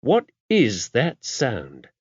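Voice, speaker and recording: A man voicing a fastidious, wry investigator in audiobook dialogue, speaking in an emphatic, exclamatory way. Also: medium pitch, huge pitch swings, husky, no room sound, voice pushed up, heavily acted. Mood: curious. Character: Cotton, fastidious male investigator, incredulous and wry. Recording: dry, dull lo-fi audio.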